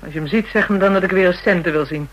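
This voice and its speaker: An actress playing a hardened, taunting woman in a radio drama, with a husky voice, a Dutch accent and brash, slangy speech. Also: lowish voice, fast, muffled recording, natural voice. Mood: brazen, boastful. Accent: Dutch (Netherlands). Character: hardened, jealous, taunting woman dealing in kinky services.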